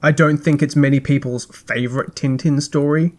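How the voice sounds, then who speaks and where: A man hosting a podcast, reading a scripted review in a measured, articulate way with dry, wry asides. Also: a little high, fairly even intonation, a little mellow.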